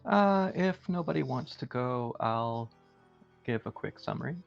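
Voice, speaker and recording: A man with a gravelly voice sums up the party's adventure slowly and carefully, fixing his words as he goes. The sound is dull and lo-fi, with faint music underneath. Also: mid-range voice, animated. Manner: measured, storytelling recap with self-corrections. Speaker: player. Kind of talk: casual recap of the story.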